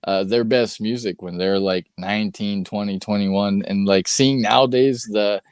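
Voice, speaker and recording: A man hosting a casual podcast interview, relaxed and loose, swearing freely and filling his sentences with "uh" and "like.". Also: on the low side, moderate pace, clear, resonant tone, dry recording, clean studio sound. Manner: laid-back, swears freely, lots of filler words. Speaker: podcast host.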